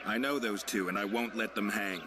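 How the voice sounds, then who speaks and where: An actor playing a stern, principled monster hunter in a video game, terse and firm. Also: male voice, on the low side, a little fast, background noise, natural voice. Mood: challenging.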